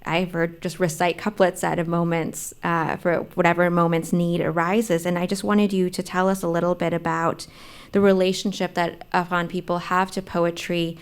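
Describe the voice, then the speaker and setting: A woman's voice: lowish voice, little pitch movement, normal speed, smooth. A woman hosting a live event, asking a guest questions politely into a studio mic on a small stage. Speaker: host. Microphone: studio mic on a stand.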